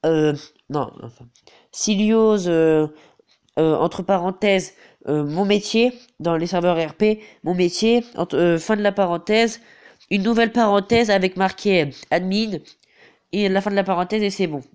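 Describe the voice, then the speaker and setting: A man's voice: high-pitched, unhurried, a little mellow, smooth. Stuffy-nosed teen boy hesitating through a tutorial. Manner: hesitant, filler-heavy, repeats "donc voilà". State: has a head cold. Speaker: gaming YouTuber. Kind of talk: game tutorial voice-over.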